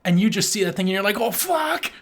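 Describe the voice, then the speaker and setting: Podcast co-host, mid-pitched and very animated, chatting casually and enthusiastically about film. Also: fast talker, breathy edge. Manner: enthusiastic, imitating sound effects.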